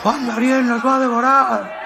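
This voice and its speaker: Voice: medium pitch, steady tone, creaky, crowd noise, noisy background, natural voice. Una voz de radioteatro que interpreta a una turba furiosa de pueblerinos y muchachos, gritando y acusando. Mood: furious, accusing. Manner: shouting, taunting, drawn-out cries. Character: furious mob of townsfolk and children, shrill, accusing. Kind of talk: radio drama acting.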